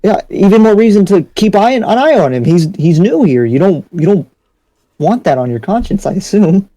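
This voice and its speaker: A man's voice: sits high, big pitch swings, normal speed, mellow, no echo. A man playing a stern, skeptical townsman in an improvised anime game roleplay, pressing with repeated questions and lecturing. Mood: insistent, disapproving.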